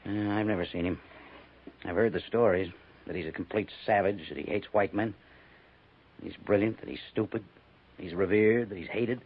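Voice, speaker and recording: An actor playing an earnest army major who gives orders in a 1950s radio western. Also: male voice, fairly low pitch, moderate pace, pauses often, slightly rough. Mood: musing. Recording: thin, phone-like, noisy 1950s radio recording.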